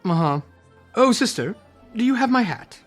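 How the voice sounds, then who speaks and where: A man's voice: sits high, sing-song, normal speed, soft background music. A man playing a flamboyant, teasing adventurer in a fantasy radio drama.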